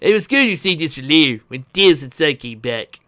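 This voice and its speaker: A man voicing an excitable old uncle who is an inventor, explaining things with emphasis. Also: on the high side, normal speed, voice pushed up. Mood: exulting, earnest.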